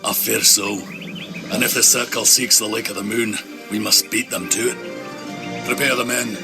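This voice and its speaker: Resolute knight, music behind. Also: male voice, on the low side, fairly even intonation, moderate pace, creaky. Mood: wary, grave. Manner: resolute, terse. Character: steadfast, wary Scottish knight commander. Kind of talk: video game cutscene acting.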